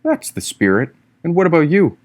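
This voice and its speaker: A man's voice: fairly high pitch, big pitch swings, normal speed, darkish tone, pitched above the performer's own voice. A man voicing a free-spirited, teasing young woman in an audiobook, with a breezy, irreverent manner.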